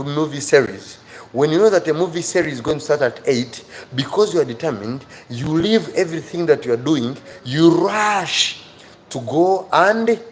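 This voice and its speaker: A man with an African accent speaks as an online teacher, urging his listeners on in an earnest, emphatic way. His voice sits at a medium pitch, and he repeats key phrases to drive them home. There is faint noise behind him. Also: darkish tone. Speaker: teacher hosting a live online lesson. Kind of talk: motivational live lesson, addressing viewers directly.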